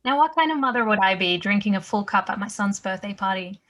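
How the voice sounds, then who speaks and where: Casting: actress as wry, sociable mom, forward bright voice, mid pitch, table-read drama. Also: female voice, lively intonation, quick pace, close to an everyday voice. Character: Sam, wry, sociable mother at her son's party.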